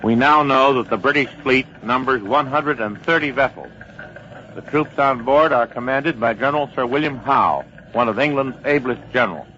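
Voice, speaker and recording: A man plays a formal American military newsman in a vintage radio play. He is factual and authoritative in a medium-pitched voice. The sound is dull, with faint noise. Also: moderate pace, pushed and bright, close to an everyday voice. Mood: sober, factual. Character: sober male military news analyst at headquarters booth. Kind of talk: old-time radio news bulletin.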